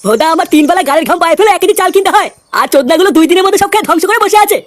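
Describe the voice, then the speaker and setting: A man voicing a bullying, grumbling middle-aged father in a comic cartoon, scolding and blustering in a pitched-up voice. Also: expressive, rapid-fire, no room sound, studio quality. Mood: irate. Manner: scolding, blustering, foul-mouthed.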